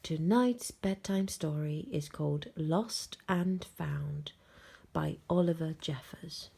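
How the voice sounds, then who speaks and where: A woman storyteller reading a children's bedtime story aloud, gently and expressively, with dramatic pauses and hushed phrase endings. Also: low voice, vocal fry, breathy edge.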